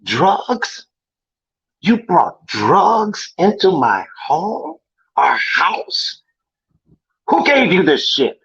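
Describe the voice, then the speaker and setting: A man's voice: high-pitched, sing-song, very slow, vocal fry, long pauses, a bit bright, slightly rough, heavily acted. The stern, wounded father in a kitchen-table showdown of a stage drama played by a man, voice rising and dropping hard, heard through dull audio. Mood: outraged, hurt. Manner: stern, emphatic, pleading. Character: angry, heartbroken father confronting his drug-hiding son. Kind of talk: dramatic audition monologue.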